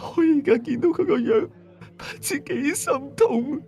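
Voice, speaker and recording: Guy doing a young mum in a ghost radio drama, way high voice, weepy and pleading, music under it. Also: expressive, unhurried, vocal fry, a little mellow, heavily acted. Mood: tearful pleading. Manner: sobbing, pleading. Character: tearful young mother pleading for sick son.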